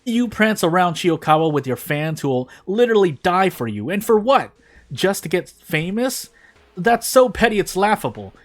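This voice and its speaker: A man's voice: sits high, sing-song, moderate pace, pitched above the performer's own voice. A man voicing a bitter, wounded young witch girl in a storybook reading, accusing and impassioned. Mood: furious ranting.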